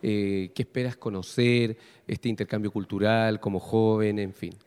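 A man's voice: on the low side, steady tone, normal speed, darkish tone, clean tone. A Chilean man hosting a radio interview, asking long, probing questions full of fillers. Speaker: radio host. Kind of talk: interviewing a guest.

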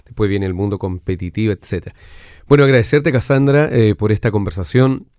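Low-pitched Chilean male radio interviewer. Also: fairly quick, a little mellow. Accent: Chilean Spanish.

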